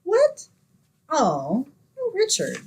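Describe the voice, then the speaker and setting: A woman's voice: high-pitched, huge pitch swings, extremely slow, darkish tone, full character voice. A woman playing a sensible, long-suffering young roommate in an old-time radio comedy, with a dramatic, wry manner. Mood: frantic, exasperated.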